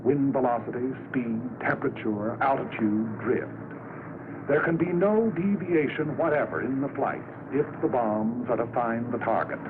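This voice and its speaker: An American man giving a measured, solemn scripted narration in the style of a 1940s wartime documentary. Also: mid-range voice. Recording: scratchy 1940s film soundtrack, narrow band, noisy.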